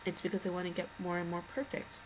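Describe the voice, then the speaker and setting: A teenage girl with a low, slightly worn voice, rambling earnestly through an unscripted video message as a fan. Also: flat delivery, quick pace, background noise. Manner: rambling, earnest, many restarts and fillers. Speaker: fan addressing a singer.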